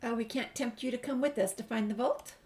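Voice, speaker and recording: A woman's voice: a little high, a little fast, a little mellow. A woman giving informal, easygoing playthrough commentary and reading game text and dialogue choices aloud. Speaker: gaming video creator.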